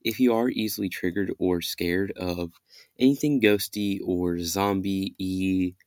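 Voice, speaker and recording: A man's voice: low voice, steady tone, unhurried, darkish tone, no room sound, studio quality. A young man reading his own horror story as a podcast host, in dramatic first-person narration. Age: young adult. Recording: music behind the voice.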